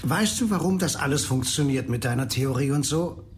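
A man acting in a radio crime drama as a complacent, chummy county politician, self-justifying, with a creaky voice. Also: medium pitch, animated, moderate pace, slight background noise, lightly acted.

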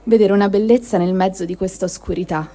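A woman giving a measured, reflective reading of a first-person diary, in short phrases with pauses. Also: medium pitch, steady tone, dark tone, faint music behind. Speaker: reader of a testimony.

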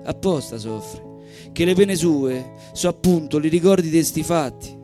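High-voiced Roman man reciting onstage. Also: lively intonation, unhurried, warm tone. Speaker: stage performer. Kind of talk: live recital of comic dialect verse. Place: live stage at a social centre event. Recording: live evening show recording with music.

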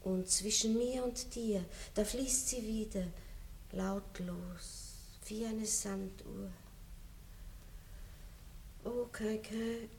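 A woman's voice: mid-range voice, vocal fry, a little hiss or hum. A wistful aging noblewoman. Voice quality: soft, measured, melancholy. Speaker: Marschallin in radio play. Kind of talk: 1960s radio drama acting.

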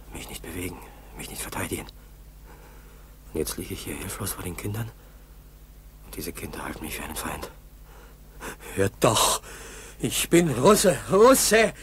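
A man's voice: sits low, sing-song, measured pace, long pauses, a bit bright, a little hiss or hum, full character voice. A man playing a weak, wounded Russian airman, helpless and desperate, in a 1960s German radio drama. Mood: helpless despair. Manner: swings from breathy whisper to pleading cries. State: weak and exhausted.

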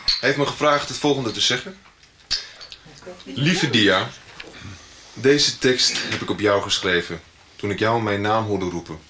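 An actor playing a sincere young singer giving a hesitant, informal thank-you speech in a radio soap. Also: male voice, fairly low pitch, noisy background, lightly acted. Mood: tender, solemn. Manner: hesitant, with pauses and fillers.

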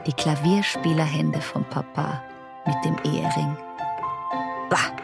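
A woman's voice: sits low, flat delivery, unhurried, creaky, loud music behind the voice, full character voice. A woman playing an observant, defensive young daughter in a radio drama, in a wry, intimate inner monologue. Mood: jealous brooding.

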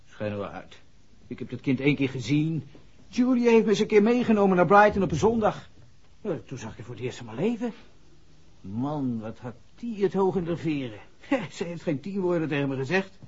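An actor playing a grumbling, defensive young playboy star with a husky voice and a hesitant, indignant manner, in 1960s radio drama style. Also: male voice, fairly high pitch, big pitch swings, a little fast, darkish tone. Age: young adult. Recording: dull 1960s radio recording.

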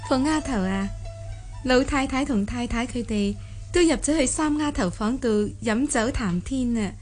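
Higher-voiced, sensible noble lady. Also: lively intonation, moderate pace, darkish tone, faint music behind. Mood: matter-of-fact. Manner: reproving, sensible. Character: upright, gently chiding young widowed lady of noble house. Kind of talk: radio drama acting.

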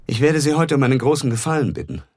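An actor playing a wealthy, secretive, self-mythologizing gentleman in a radio drama, speaking with courteous, old-fashioned familiarity. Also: mid-range voice, big pitch swings, fast talker, background noise, close to an everyday voice. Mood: confiding. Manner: polished, boastful, addresses listener with old-fashioned familiarity. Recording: studio radio play with music underneath.

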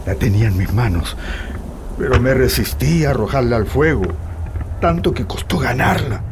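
A man's voice: on the low side, background noise, lightly acted. An actor playing a somber Chilean survivor, narrating his memories confidingly in a radio drama. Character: somber male survivor recalling the coup to a friend. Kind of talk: dramatized first-person narration. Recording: loud music behind narration.

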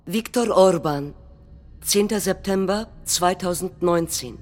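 A woman's voice: fairly low pitch, slow pace, a little mellow, soft background music. A woman announcing in a political radio play with scripted, clipped, factual delivery.

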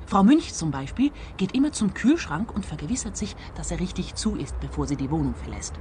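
A woman's voice: lowish voice, sing-song, fairly quick, background noise. An actress playing an eager, naive young woman training as a private detective in a radio crime comedy, chatty and earnest. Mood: chatty, self-assured.

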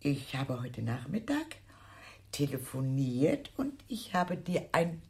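Flighty, deep-voiced, theatrical aunt. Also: female voice, very animated, a little mellow, husky, full character voice. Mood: remorseful, eager. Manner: halting, repeating words, dramatic sighs. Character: flighty, scatterbrained, extravagant older aunt, effusive and weary. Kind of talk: German radio drama acting.

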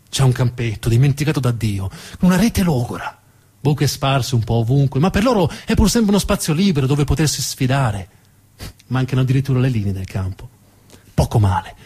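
A man narrating a theatrical monologue with expressive, dramatic storytelling. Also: mid-range voice, slightly rough. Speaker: narrator and actor.

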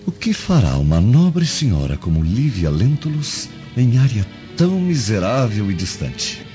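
Scheming Roman soldier, mellow voice, insinuating, radio drama, dull recording with music. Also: male voice, on the low side, sing-song, measured pace. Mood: sly. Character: scheming male Roman guard, sly servant of the governor. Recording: dull lo-fi audio with music behind.

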